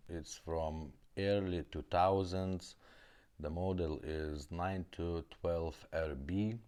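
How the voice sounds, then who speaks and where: A man's voice: sits low, a little mellow. A man casually demonstrating a car stereo, talking in a plain, matter-of-fact way and often checking in with "okay?". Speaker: car radio repair host.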